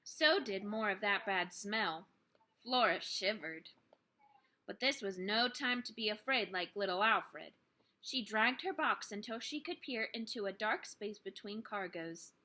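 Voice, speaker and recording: Bright-voiced woman reading a children's story. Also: medium pitch, expressive, a little slow. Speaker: teacher reading a story aloud. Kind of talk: expressive read-aloud of a children's book.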